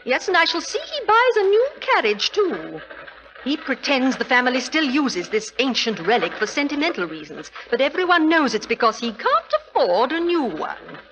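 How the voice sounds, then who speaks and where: A woman's voice: high voice, big pitch swings, moderate pace, fairly bright tone, full character voice. An actress playing a frank, worldly, middle-aged upper-class lady in an old radio drama, arch and chatty as she tells her tales. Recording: noisy vintage radio recording.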